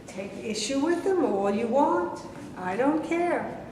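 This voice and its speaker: A woman's voice: high-pitched, expressive, slow pace, crowd noise. A woman reading the part of a righteous congregation woman in a staged play, preachy and reproachful. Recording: echoey hall from a distance.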